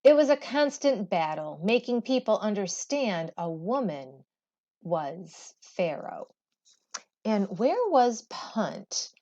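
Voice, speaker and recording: A woman teacher reading a storybook aloud with expressive, deliberate, emphatic phrasing. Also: mid-range voice, highs cut off.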